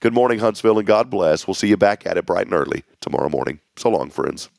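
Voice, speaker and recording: A man hosting a local morning show, upbeat and folksy, his voice going hoarse. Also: low voice, fast, darkish tone, no echo, clean studio sound. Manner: folksy, upbeat community announcer. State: losing his voice. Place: small local TV studio.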